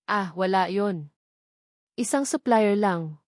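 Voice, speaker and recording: A woman's voice: mid-range voice, lively intonation, slow pace, darkish tone, dry recording, clean studio sound. A woman narrator voicing an evasive male cousin who feigns casualness in an audio storybook. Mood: nervous, evasive.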